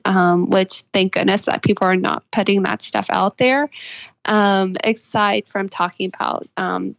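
Creaky-voiced woman, halting interview guest. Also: mid-range voice, dry recording. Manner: halting, pausing to consider points. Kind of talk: casual interview discussion.

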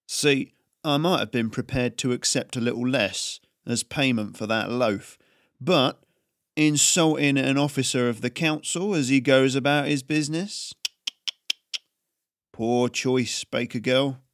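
A man's voice: medium pitch, measured pace, no room sound, clean studio sound, natural voice. A man doing a sneering tax collector. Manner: sneering and gruff. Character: bullying greedy male tax collector.

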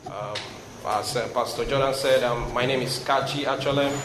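A man in his thirties from Nigeria with a Nigerian accent, giving a sermon as a guest speaker, reading scripture and praying, often pausing with ums and restarting his sentences. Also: medium pitch, fairly even intonation. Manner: hesitant, frequent ums and restarts. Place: large hall before a youth crowd. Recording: echoey room with noise.

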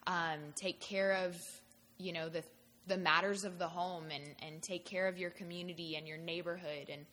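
Lower-voiced woman from Thailand, reverberant. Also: fairly even intonation, fairly bright tone. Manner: measured, slightly halting. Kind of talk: casual panel discussion.

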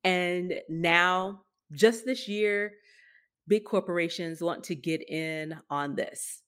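A woman's voice: fairly low pitch, takes it slowly, clean tone. A woman co-hosting a podcast, talking casually and sardonically with emphatic rhetorical questions. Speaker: podcast co-host. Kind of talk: casual opinion talk.

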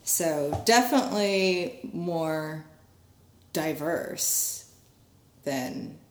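A woman in her thirties from Connecticut talks casually as an interviewee. Her voice sits low and she searches for words with ums and restarts. The room gives the sound a noticeable echo. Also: very slow. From Connecticut, USA. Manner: chatty, hesitant with many ums and restarts. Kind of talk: casual oral-history interview. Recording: echoey room.

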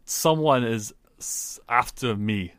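A man's voice: medium pitch, takes it slowly, fairly bright tone, smooth, no room sound. A man voicing a huge, blunt, wary foreigner who speaks in terse, broken phrases, in a tabletop game.

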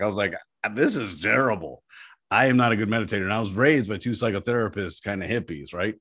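A man with a deep voice talking in a casual interview, an animated and self-deprecating storyteller. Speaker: guest, app founder.

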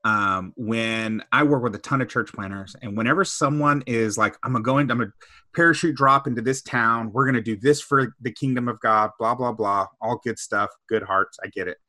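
A man talking in a casual discussion, his voice bright and forceful. He tells stories with many asides, recorded dry and close. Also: medium pitch, brisk, no echo. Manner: conversational, storytelling with asides. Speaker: podcast co-host.

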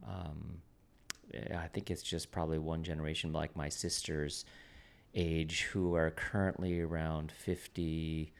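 A man interviewing a peer about church life, halting and restarting his phrases and checking that he is understood. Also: very deep voice, little pitch movement, gravelly. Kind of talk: conversational interview.

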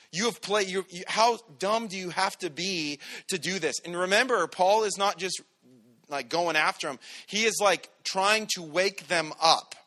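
A man delivers a sermon with a loud, commanding voice. His tone is bright and forceful and sits a bit high for a man. He keeps it lively and conversational. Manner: energetic, conversational preaching. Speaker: pastor.

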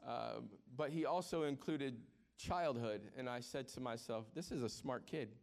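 Pastor in his 40s preaching, chatty and jokey, keeps restarting words, mid pitch. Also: moderate pace. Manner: conversational, joking with the congregation. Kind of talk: sermon.

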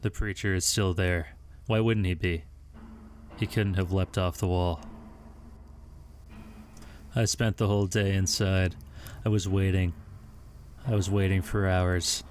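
A low, grave voice tells a first-person audio drama as a sleepless, resentful man acted by a young man. The delivery is literary and deliberate, haunted by confinement. Some noise sits in the home recording. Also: little pitch movement. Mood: weary, haunted. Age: young adult. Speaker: student voice actor and narrator.

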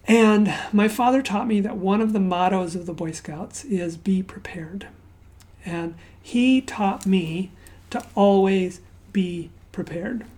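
A woman raised in Zambia preaching at an unhurried pace, with a mellow, warm tone. Her prayer and stories alternate between relaxed, chatty asides and soft, hushed near-whispers. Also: mid-range voice. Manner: conversational storytelling with fillers and asides. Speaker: pastor. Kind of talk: sermon with opening prayer.

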